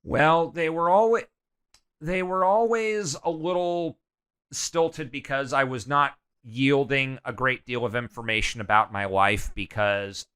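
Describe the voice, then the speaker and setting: A middle-aged man being interviewed, talking candidly and loudly, full of "you know" and restarts. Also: medium pitch, measured pace, clear, resonant tone. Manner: loud, animated, hesitant with many fillers. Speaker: guest.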